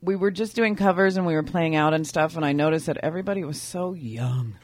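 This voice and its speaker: A woman in her forties with a low voice, a band singer chatting modestly as a guest. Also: brisk. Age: 40s. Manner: modest, self-deprecating, chatty.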